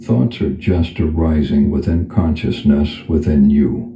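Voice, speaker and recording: A man leading a guided meditation as a calm spiritual teacher, speaking in a gentle, unhurried, instructional manner. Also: rumbling low, highs cut off. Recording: echoey reverb over background music. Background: music.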